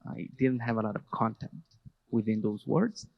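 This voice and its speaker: A man in his thirties with a Hebrew accent, giving a halting, reflective personal testimony to an audience, a little nervous. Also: lowish voice, gravelly, dull audio. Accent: Israeli Hebrew. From Jerusalem, Israel. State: a bit nervous. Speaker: speaker at a public talk, activist educator. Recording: reverberant hall.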